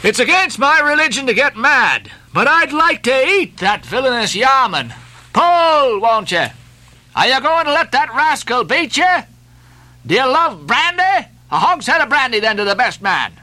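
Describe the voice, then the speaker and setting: A man's voice: very high voice, sing-song, moderate pace, bright, forceful tone, no echo, voice pushed up, heavily acted. A man voicing a boisterous whaling mate from a storybook, goading his rowers with jovial fury in bellowed, cajoling exclamations. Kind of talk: storybook character voice in a novel reading.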